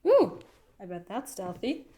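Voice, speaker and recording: Clever, coaxing heroine female performer, mid-pitched, conversational and teasing, for an audio drama about an alien traveller. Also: huge pitch swings, unhurried, warm tone. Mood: playful, coaxing. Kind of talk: fan audio drama acting.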